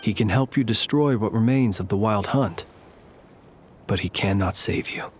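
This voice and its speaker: A man voicing a courtly lantern-bearer who lays ghosts to rest, speaking in a formal, measured, poetic way. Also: lowish voice, lightly acted. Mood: grave. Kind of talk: video game voice acting.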